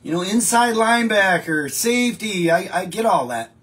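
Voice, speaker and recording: High-voiced chatty Midwestern man. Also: lively intonation, normal speed, a bit bright. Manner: chatty, rambling, frequent restarts. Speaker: show host reading viewer comments. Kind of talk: casual live-stream chat. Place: home studio with a wallpaper backdrop.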